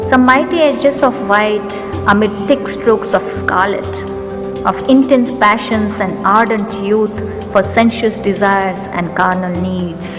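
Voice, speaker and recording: Indian woman, mid-range voice, English teacher and poet, earnest recital; long reverb, music bed. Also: medium pitch. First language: Urdu. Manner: measured, earnest, slows down for verse lines. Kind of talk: poetry recitation with commentary.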